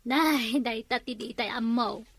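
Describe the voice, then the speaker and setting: A woman's voice: a little high, a little slow, fairly bright tone, no echo. A woman with an Ilocano accent playing a chatty neighbor who gossips in a comic drama. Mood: gossipy.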